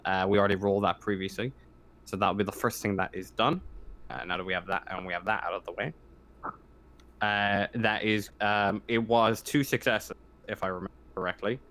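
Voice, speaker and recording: A man acting as game master in a tabletop roleplaying game, narrating haltingly as he searches for words. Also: on the low side, fairly even intonation, fairly bright tone.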